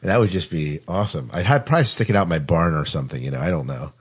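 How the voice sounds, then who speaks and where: A man's voice: sits low, lively intonation, slightly rough. A man hosting a podcast in casual chat, with a jovial, easygoing way of talking. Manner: jovial, quick to laugh. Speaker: podcast host.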